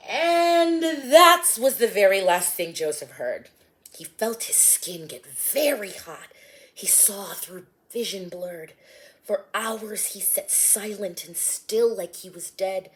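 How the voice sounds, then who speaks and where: A woman narrating her own rhyming storybook with an expressive, sing-song delivery. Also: mid-range voice, slow pace. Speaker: author and storybook reader.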